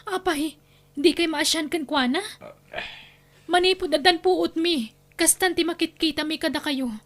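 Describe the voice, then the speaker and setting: A woman's voice: extremely high pitch, a little slow. A woman playing a long-suffering, anxious wife and mother with an Ilocano accent in a radio-style family drama, pleading and plaintive. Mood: worried, pleading.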